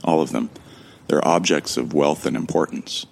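Super low gravelly guy telling his own story in an audio drama, friendly, kinda wry, amnesiac stranger. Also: normal speed, a little mellow, heavily acted. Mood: observant, urgent. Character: Jake Fisher, amnesiac man, observant and friendly. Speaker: narrator and lead character of an audio drama. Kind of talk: first-person dramatic narration.

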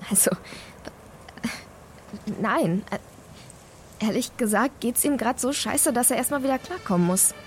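A woman's voice: fairly high pitch, animated, measured pace, long pauses, a little hiss or hum. An actress playing an emotional, caring young woman in an audio drama, confiding in colloquial, intimate speech. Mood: protective.

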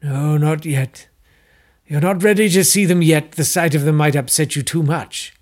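A man voicing a pompous, obsessive aristocrat with a slow, drawling delivery. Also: on the high side, darkish tone, pitched above the performer's own voice, close to an everyday voice. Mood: brooding.

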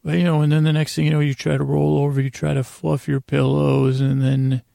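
Creaky-voiced man, rambling at bedtime. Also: fairly high pitch, completely flat delivery, mellow, dry recording, clean studio sound. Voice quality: creaky, gravelly. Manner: meandering, halting, with pauses and trailing digressions. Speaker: host of a bedtime podcast. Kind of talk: rambling improvised bedtime monologue.

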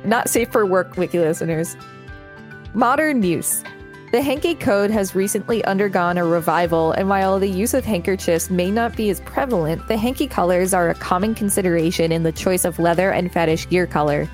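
A woman's voice: lowish voice, a little fast, a little mellow, soft background music. A woman co-hosting a podcast, reading an article aloud with casual asides.

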